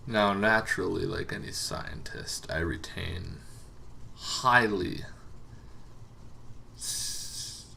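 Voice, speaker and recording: A man giving a casual, unscripted explainer as a host, halting and rambling with long pauses, over some background noise. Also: low-pitched, vocal fry, sharp tone. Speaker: host of a tabletop gaming lore series.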